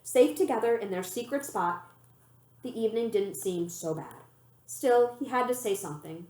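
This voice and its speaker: A woman reading a children's novel aloud as an expressive, animated storyteller. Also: mid-range voice, normal speed. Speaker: librarian reading a book aloud. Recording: roomy, echoey room sound.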